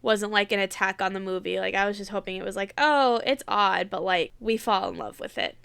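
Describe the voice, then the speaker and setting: A woman's voice: mid-range voice, animated, fairly quick, gravelly, a bit bright. A woman hosting a reaction video in a casual, blunt, chatty way, congested with a cold.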